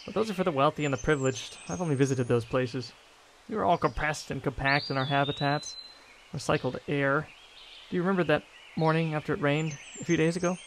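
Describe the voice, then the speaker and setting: Earnest idealistic spaceman played by a man, audio drama; lightly high male voice, faint background noise. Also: a little fast, lightly acted. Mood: wondering. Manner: earnest, wondering.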